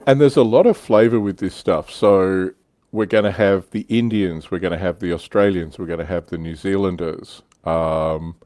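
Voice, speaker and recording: A man's voice: sits low, fairly even intonation, moderate pace, a little mellow, no echo, studio quality. A man hosting a casual livestream discussion about a hobby, talking conversationally. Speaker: livestream host.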